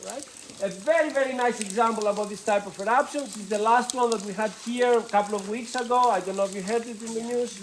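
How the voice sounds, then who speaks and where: A woman's voice: mid-range voice, a little hiss or hum. Greek-accented woman guiding a cave tour. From Greece. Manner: chatty and playful, joking with the group, loose non-native grammar. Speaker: tour guide. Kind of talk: guided cave tour talk. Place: inside a lava tube cave with a tour group.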